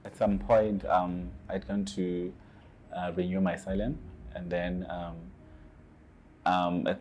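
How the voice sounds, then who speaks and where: Low-voiced man, earnest testimony. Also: level tone, slow pace, darkish tone, a little hiss or hum. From Zimbabwe. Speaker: asylum seeker telling his story. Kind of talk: personal testimony to camera.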